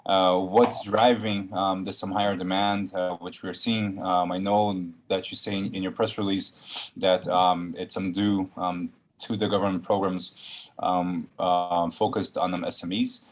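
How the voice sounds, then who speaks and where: Man, low voice, analyst, hesitant, frequent pauses. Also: flat delivery. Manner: hesitant, pauses often mid-phrase. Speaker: analyst asking questions.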